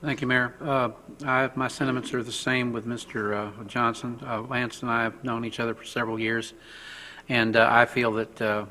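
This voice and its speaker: Man councillor, flat bright delivery, rushed and hesitant, faint room noise. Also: medium pitch, in a large hall. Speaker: city council member. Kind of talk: formal remarks at a council meeting. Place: city council chamber.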